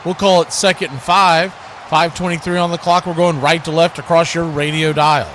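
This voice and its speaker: A man's voice: on the high side, level tone, normal speed, a bit bright, slight background noise. A man doing live football radio play-by-play in short, clipped, conversational calls. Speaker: play-by-play announcer.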